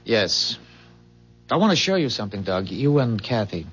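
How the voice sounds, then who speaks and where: An actor playing an earnest, secretive inventor from a bleak future in a 1970s radio drama, explaining things with hesitant, measured pauses. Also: male voice, fairly low pitch, very animated, fairly bright tone, breathy edge, full character voice. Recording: muffled 1970s radio broadcast.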